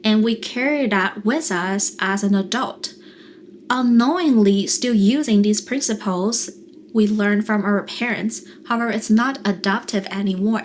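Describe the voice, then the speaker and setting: A young adult woman with a Mandarin Chinese accent, a therapist talking calmly and earnestly to camera, explaining things in a coaching style. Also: mid-range voice, slight background noise. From China. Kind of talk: calm coaching monologue to camera.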